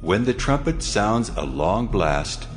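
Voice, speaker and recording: A man's voice: lowish voice, sing-song, takes it slowly, soft background music. A man playing God in a dramatized scripture reading, grave, authoritative and proclaiming. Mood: solemn, commanding. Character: God, solemn, commanding, majestic male deity.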